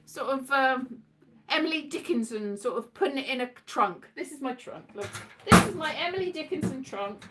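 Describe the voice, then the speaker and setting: A woman's voice: high-pitched, animated, moderate pace, a bit bright. A woman giving a rambling, casual comic monologue with a theatrical, wry, exasperated manner, slipping into sing-song and mimicked exchanges. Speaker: solo performer of a radio play monologue.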